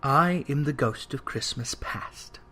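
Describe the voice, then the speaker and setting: A man performs a gentle ghostly spirit from a storybook. The voice is soft and hushed. It speaks slowly and formally, with solemn, reproachful authority. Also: medium pitch, a little hiss or hum, voice pushed down.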